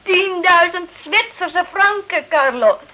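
A woman's voice: very high-pitched, animated, takes it slowly, sharp tone, heavily acted. An actress playing an emotional, stubborn Italian village wife and mother in a Dutch radio drama. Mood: insistent, defiant. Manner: emotional, pleading, insistent. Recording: dull, lo-fi old radio play recording.

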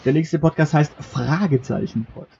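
A man's voice: fairly high pitch, normal speed, creaky, a little mellow. A man co-hosting a podcast in casual banter, reading out from a list and joking as he goes. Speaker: podcast co-host. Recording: muffled lo-fi podcast recording with background noise.

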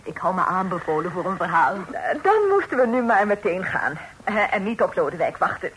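An actress playing a fussy, nervous, well-to-do aunt in an old radio play. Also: female voice, on the low side, sing-song, a little fast, a bit bright, low-quality recording. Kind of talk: radio drama acting. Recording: old radio play with faint crowd noise.

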